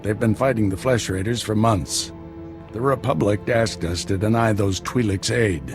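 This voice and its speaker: A man playing a seasoned, middle-aged Jedi master in a video game, mentoring his apprentice in a measured, plainspoken way. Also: on the low side, over music, close to an everyday voice. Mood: calm, frank.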